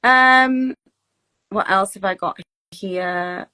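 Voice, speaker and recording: A woman's voice: medium pitch, drawn-out words, pushed and bright. A woman giving an informal coaching talk in a chatty, encouraging way, often checking in with tag questions. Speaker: teacher wellbeing coach leading a talk. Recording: distant microphone.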